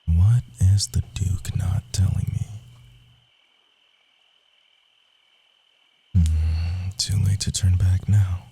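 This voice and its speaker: A man playing a sly, cocky rogue assassin in a fantasy audio roleplay, speaking softly and theatrically, often dropping into whispers. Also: flat delivery, takes it slowly, soft background music, exaggerated voice. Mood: wary, hushed. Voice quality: low, gravelly, husky.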